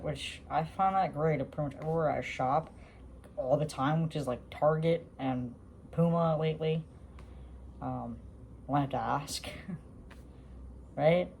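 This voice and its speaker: Gravelly-voiced man vlogging casually. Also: a little high, darkish tone, a little hiss or hum. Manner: rambling, many fillers and restarts. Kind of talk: casual talk to camera.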